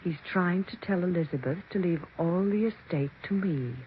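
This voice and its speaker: A woman's voice: on the low side, close to an everyday voice. A woman playing a domineering, scheming mother who claims to speak with the dead, in stern American 1940s radio suspense acting. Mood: stern, menacing. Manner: stern, commanding, deliberate diction. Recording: dull, noisy 1940s radio recording.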